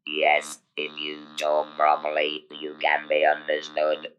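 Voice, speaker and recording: A man demonstrating speech through an electrolarynx, with a buzzing, mechanical, monotone voice. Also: extremely low pitch, takes it slowly, highs cut off. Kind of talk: instructional demonstration. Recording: clearly noisy, with steady device buzz.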